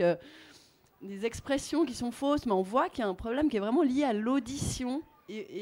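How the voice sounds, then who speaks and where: A woman moderating a group discussion, reflective and animated, with frequent restarts. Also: a little high. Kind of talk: spontaneous discussion.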